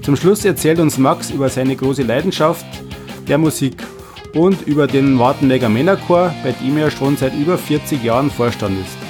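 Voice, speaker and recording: A man's voice: medium pitch, normal speed, over music. A man with a Bavarian accent presenting a podcast introduction as its co-host.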